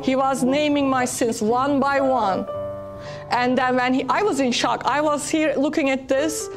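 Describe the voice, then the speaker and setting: A woman's voice: high voice, fairly even intonation, measured pace, music in the background. A woman whose first language is Turkish, giving an earnest, emphatic personal testimony as a guest on a talk show.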